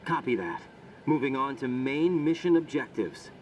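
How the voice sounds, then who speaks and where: A man's voice: medium pitch, lively intonation, normal speed, a little mellow, natural voice. An actor playing a young male military operative, terse and earnest, in scripted video game radio dialogue. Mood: focused, alert. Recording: distant voice with faint background noise.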